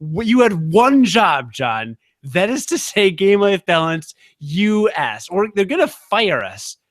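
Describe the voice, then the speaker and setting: A man co-hosting a podcast, teasing his partner in a dry, quick-witted way. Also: sits high, big pitch swings, no echo. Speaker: podcast co-host. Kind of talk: casual podcast banter.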